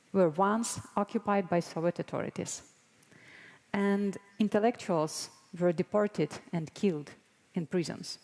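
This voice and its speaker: Mellow Ukrainian-accented woman in a reverberant hall. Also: medium pitch. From Mariupol, Ukraine. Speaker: guest speaker, broadcast board member. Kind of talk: prepared conference presentation. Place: large conference hall, on stage.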